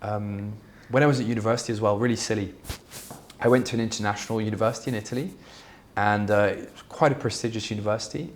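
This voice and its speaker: Low-voiced founder, candid. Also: male voice, steady tone, fast talker, slight background noise. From Belgium. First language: Flemish. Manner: candid, fluent, many fillers and restarts. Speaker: startup founder, guest. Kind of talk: conversational interview answers.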